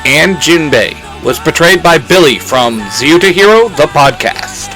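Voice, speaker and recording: A man's voice: a little high, lively intonation, measured pace, pushed and bright, faint music behind, rough recording. A man reading out cast credits as host, in a scripted announcer style.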